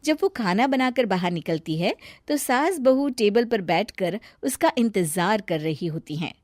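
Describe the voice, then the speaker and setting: A woman's voice: medium pitch, lively intonation, unhurried, darkish tone, no room sound, studio quality. A woman narrating a scripted family tale in storybook style.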